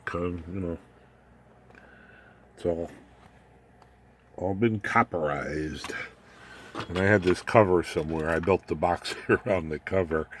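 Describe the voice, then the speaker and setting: Older man, deep slow voice. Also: slight background noise. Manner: folksy, halting, wry asides. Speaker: electronics hobbyist presenter. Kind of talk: casual unscripted build walkthrough.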